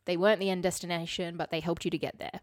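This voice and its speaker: A woman's voice: on the low side, little pitch movement, quick pace. A woman co-hosting a podcast, chatting casually and summarising articles in an informal, explanatory way with wry asides. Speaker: podcast co-host.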